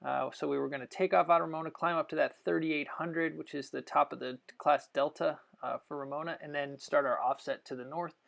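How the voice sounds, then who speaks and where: A man narrating a flight video as a test pilot, in an unscripted, technical explanatory style with halting pauses. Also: a little high, steady tone, creaky, a bit bright.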